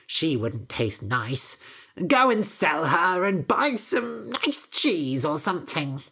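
A woman voicing a silly, pushy old mother who is excitable and orders her son about in a shrill, bossy way. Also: slow pace, exaggerated voice.

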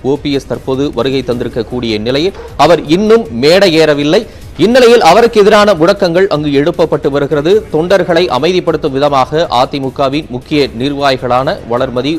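A male news anchor giving steady, formal live commentary over breaking news footage. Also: fairly high pitch.

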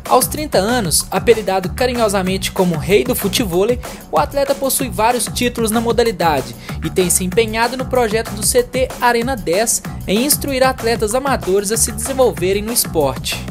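A woman reading scripted TV narration in an even, announcer-like delivery. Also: fairly high pitch, normal speed, hardly any pauses, over music. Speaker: voice-over narrator.

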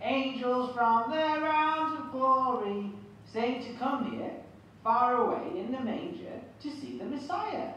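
A woman's voice: high-pitched, takes it slowly, fairly bright tone, dull audio. A woman voicing an eager, friendly sheep puppet in a scripted show, with projected stage delivery. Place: church hall, puppet stage, far camera. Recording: very echoey church, distant noisy mic.

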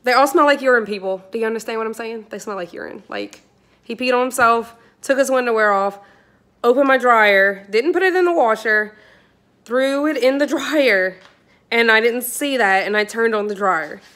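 A woman's voice: on the high side, normal speed, fairly bright tone. A woman, telling a home mishap story to a phone camera in her kitchen, exasperated and chatty. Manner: exasperated, chatty, addresses viewers directly. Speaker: vlogger, mother. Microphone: phone at arm's length.

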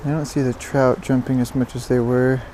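A man giving excited, casual fishing commentary, repeating words in bursts, speaking outdoors into a body-worn action camera. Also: mid-range voice, normal speed, poor audio. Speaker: fishing vlogger. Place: outdoors beside a stream. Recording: outdoor water noise behind voice.

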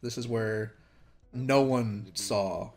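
A man's voice: fairly low pitch, extremely slow, darkish tone, faint music behind. A man with an American accent giving casual game commentary in a slangy, sweary, joking way. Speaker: let's play commentator.

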